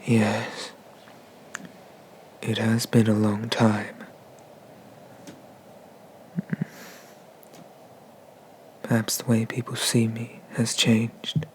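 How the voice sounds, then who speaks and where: Slow, low-voiced ancient dragon god played by a man. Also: completely flat delivery, a little mellow, slight background noise. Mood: haughty, brooding. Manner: slow, deliberate, formal speech with murmured, breathy whispers. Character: ancient, haughty male Eastern dragon god, condescending but merciful. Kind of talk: ASMR roleplay, scripted.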